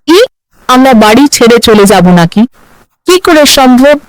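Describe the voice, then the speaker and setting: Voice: high voice, sing-song, unhurried, no echo, poor audio. A performer voicing a bold, defiant housewife with an argumentative manner in a cartoon fairy tale. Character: bold, defiant housewife who refuses to budge.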